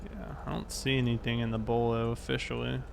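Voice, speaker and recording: A man's voice: mid-range voice, flat delivery, a little fast. An American man chatting casually on a livestream while roleplaying as a police officer, in a laid-back way. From South Carolina, United States. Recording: clear background noise, vehicle sounds.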